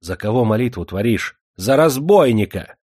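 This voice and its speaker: A man's voice: medium pitch, expressive, moderate pace, darkish tone, no echo, studio quality, natural voice. A man voicing a shabby, haughty nobleman from an old historical novel, with a bullying, indignant manner. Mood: angry bullying. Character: shabby, haughty, shrill hereditary nobleman, fawning then furious.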